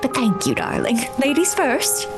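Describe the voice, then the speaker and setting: A woman acts out an elegant society lady in a tabletop game. Her voice is slightly high, refined and teasingly fond, with music clearly playing behind her. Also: wildly swooping pitch, normal speed, full character voice. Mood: gracious, arch. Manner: refined, uses endearments.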